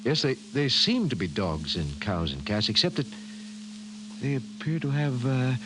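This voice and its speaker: An actor playing a grave, precise professor in 1950s radio drama style, formal and measured. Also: male voice, medium pitch, very animated. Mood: amazed. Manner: measured, formal, emphatic delivery. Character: grave, precise male scientist, a government professor. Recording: hissy 1950s radio recording.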